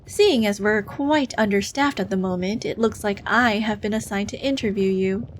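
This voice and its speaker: A woman acts out a female demon bureaucrat who interviews souls for entry to hell. She is brisk, officious and dryly condescending, speaking at a medium pitch in an ASMR roleplay. Some noise sits behind the voice. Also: close to an everyday voice. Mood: businesslike, approving.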